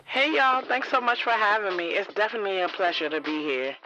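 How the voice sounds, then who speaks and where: A woman's voice: mid-range voice, animated, brisk, sharp tone, dull audio, faint music behind. A woman playing a hopeful, candid author of about thirty in an audio drama, speaking in a casual, earnest way. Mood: gracious, pleased. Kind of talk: first-person audio drama narration and radio interview dialogue.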